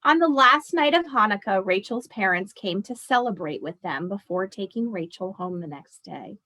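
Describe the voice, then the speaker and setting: A woman reading a children's story aloud expressively, pausing to explain and speak directly to her listeners. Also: medium pitch, expressive, bright, forceful tone. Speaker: storyteller reading a picture book aloud to an audience.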